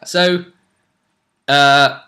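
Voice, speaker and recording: A man's voice: on the high side, fairly even intonation, drawn-out words, sharp tone. A man explaining a tabletop role-playing session as game master in casual conversation, often turning statements into tag questions.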